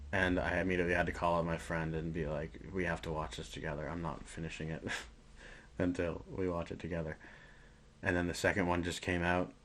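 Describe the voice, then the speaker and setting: A man speaking casually and enthusiastically as a podcast co-host discussing films. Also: deep voice.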